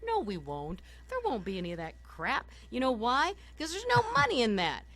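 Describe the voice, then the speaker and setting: A woman with a hoarse voice from a summer cold, co-hosting a political talk radio show from home, ranting animatedly and laughing often as she talks. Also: on the high side, wildly swooping pitch, moderate pace, fairly bright tone.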